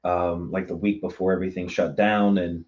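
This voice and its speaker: A man's voice: low voice, level tone, moderate pace, darkish tone. A man speaking as an interview guest in a casual, reflective and appreciative way. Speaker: guest, theater marketing director. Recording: slightly dull, lo-fi sound.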